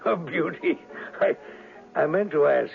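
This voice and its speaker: An actor playing a jovial, admiring saloon keeper with a gravelly voice and an effusive, chuckling manner in a radio drama. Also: male voice, fairly high pitch, animated, a little slow, narrow, tinny audio, full character voice. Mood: fond, jovial. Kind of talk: old-time radio drama acting. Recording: band-limited old radio broadcast, faint music.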